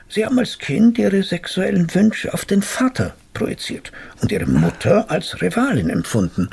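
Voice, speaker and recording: An actor playing a courteous, wry psychotherapist in a radio drama, with a polite, old-fashioned, professorial way of speaking. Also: male voice, on the high side, creaky, a little mellow, slightly rough, full character voice. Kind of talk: radio drama acting and narration.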